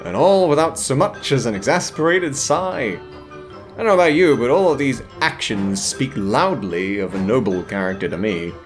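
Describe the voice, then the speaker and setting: A man's voice: medium pitch, lively intonation, moderate pace. A man narrating a scripted, humorous video essay in a wordy, theatrical and sarcastic way. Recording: music behind the voice. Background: background music.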